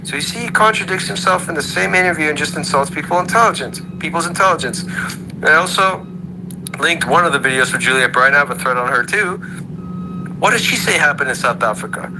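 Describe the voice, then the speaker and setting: A man's voice: a little high, animated, a little fast. Sarcastic man ranting over a hum. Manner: sarcastic, rhetorical questions. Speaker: host of an audio chat room. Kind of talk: ranting monologue. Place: online audio room.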